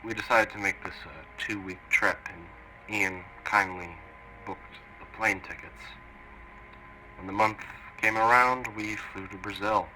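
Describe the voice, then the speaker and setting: A man playing an earnest witness, slowly and haltingly recounting his story in an interview for a mock documentary. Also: lowish voice, fairly even intonation, pushed and bright, slight background noise, poor audio. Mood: sober, reflective. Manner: halting, deliberate, pausing mid-sentence.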